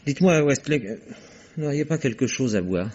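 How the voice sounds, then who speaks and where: A man's voice: mid-range voice, lively intonation, brisk, darkish tone, slightly rough. A sardonic, arrogant father. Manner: sardonic, abrupt, self-assured. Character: cynical, blunt wealthy father, arrogant. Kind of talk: 1960s French radio drama acting. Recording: dull old radio broadcast recording.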